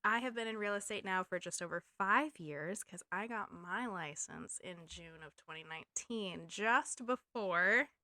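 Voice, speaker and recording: A woman's voice: medium pitch, a little slow, hardly any pauses, pushed and bright, no echo. A woman in her thirties from Maine with a slight Maine accent, co-hosting a podcast in a chatty, filler-heavy way. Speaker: podcast co-host, real estate agent. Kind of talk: casual chat.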